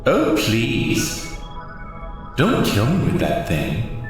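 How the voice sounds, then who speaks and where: A man's voice: mid-range voice, wildly swooping pitch, extremely slow, faint music behind, voice pushed up, full character voice. A man voicing his narrator character's pleading mind voice, drenched in echo, in an audio drama. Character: narrator's own pleading echoing mind voice, male. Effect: heavy echo. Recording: very echoey processed voice.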